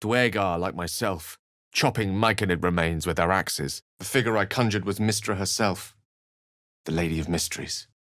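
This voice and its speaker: An actor playing an erudite, courteous wizard in a fantasy video game, speaking in an eloquent, formal and dramatic way, with wry and melancholy shades. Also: male voice, sits low, moderate pace, fairly bright tone, no room sound, clean studio sound. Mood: solemn. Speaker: video game companion character. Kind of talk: theatrical fantasy game dialogue and narration.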